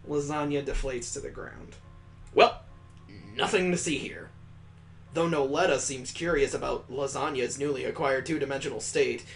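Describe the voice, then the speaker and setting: A man's voice: fairly high pitch, gravelly, a bit bright. A man hosting a gaming video, narrating a visual novel with improvised asides as he reads aloud.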